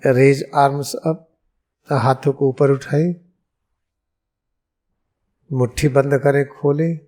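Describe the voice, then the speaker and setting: A man with an Indian accent leading a guided meditation as a yoga guru, speaking in solemn, deliberate, measured phrases with pauses. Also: mid-range voice, fairly even intonation, darkish tone.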